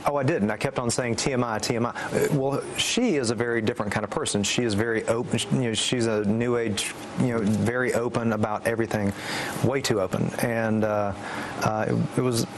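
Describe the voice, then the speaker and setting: A man's voice: mid-range voice, fairly even intonation. Guy being interviewed, stumbles over his words telling his story, slightly noisy audio. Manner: halting, stammering delivery. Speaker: interview guest, former political aide. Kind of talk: recounting personal story in interview.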